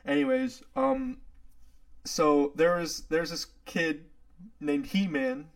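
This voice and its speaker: A man's voice: fairly high pitch, very animated, takes it slowly, creaky. A young man hosting a casual chat with friends, telling a long story in a rambling way with many fillers and frequent laughs. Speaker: podcast host.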